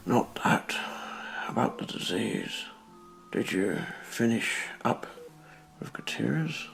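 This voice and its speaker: Radio play casting: male actor as frail elderly tycoon, low-pitched, very slow and halting, stubborn and earnest. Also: expressive, creaky, fairly bright tone, faint music behind, heavily acted. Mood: anxious. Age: older. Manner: halting, pleading. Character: frail old businessman, earnest and stubborn, in a hospital bed.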